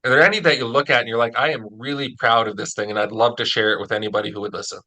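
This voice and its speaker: Bright-voiced male interviewer. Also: mid-range voice, steady tone, rapid-fire, rough recording. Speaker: interviewer, audio drama producer. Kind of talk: casual interview chat.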